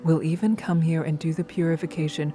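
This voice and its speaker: Commanding, tender goddess queen for mythology audio drama, played by a woman: low, dark-toned, measured, persuasive. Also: flat delivery, faint music behind, close to an everyday voice. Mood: coolly reassuring.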